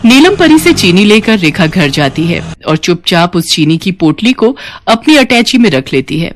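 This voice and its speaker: A woman's voice: mid-range voice, very animated, normal speed, soft background music. A woman reading a scripted children's story as the storyteller.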